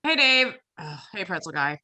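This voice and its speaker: A woman's voice: on the low side, big pitch swings, normal speed, sharp tone, exaggerated voice. A woman playing a dry-witted label artist with a sardonic manner in a comedy table reading. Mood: dry, cool. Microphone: video call.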